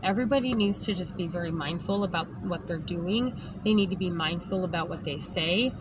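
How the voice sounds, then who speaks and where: A woman vlogger with a hoarse voice, talking emphatically straight to the camera and often tagging lines with "okay?". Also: fairly low pitch, a little fast. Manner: emphatic, keeps adding "okay?". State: hoarse, lost voice. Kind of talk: direct-to-camera rant. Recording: background noise.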